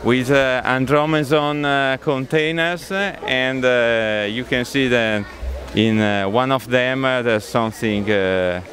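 A man in his forties with an Italian accent, explaining a display casually in halting, hesitant English into a handheld microphone. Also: medium pitch, fairly bright tone. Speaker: exhibitor, hobby model builder. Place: large busy exhibition sports hall. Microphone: handheld interview microphone.

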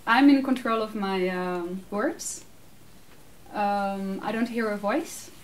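A Dutch woman in her thirties answering audience questions in a casual, animated way, laughing often; English is her second language. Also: medium pitch, slow. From Netherlands. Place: small room with curtained backdrop, seated. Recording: distant mic, faint room noise.

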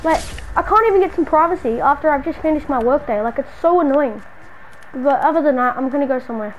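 A woman's voice: high-pitched, lively intonation, fast, slight background noise, poor audio. A woman playing an exasperated, slangy city dweller hounded by a stalker friend in an improvised video game roleplay. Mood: casual muttering. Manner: slangy, muttering to self, then scolding.

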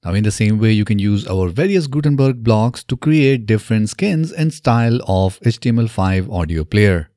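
Male tutorial narrator, thirties. Mellow tone with very animated intonation, instructional delivery, close-miked in a small studio. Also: on the low side, normal speed, few pauses, no echo. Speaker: software tutorial presenter. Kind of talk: step-by-step screen tutorial narration. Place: small indoor home studio. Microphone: close studio microphone on boom arm.

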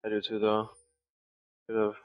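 A man's voice: lowish voice, completely flat delivery, a little slow, lo-fi sound. A young man streaming a game from a small room on a headset, chatting casually, swearing and thanking subscribers.

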